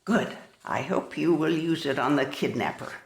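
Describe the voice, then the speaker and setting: Gravelly, stern, vengeful aunt. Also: female voice, low-pitched, moderate pace. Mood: cold, intent. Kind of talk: radio drama dialogue. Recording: roomy, echoing studio sound.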